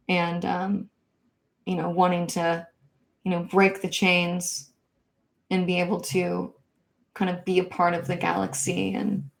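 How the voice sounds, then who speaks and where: A young woman from Kansas hosting a casual livestream, reading viewer comments and answering in a soft, hesitant way with many ums and likes. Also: lowish voice, creaky. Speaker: livestream host.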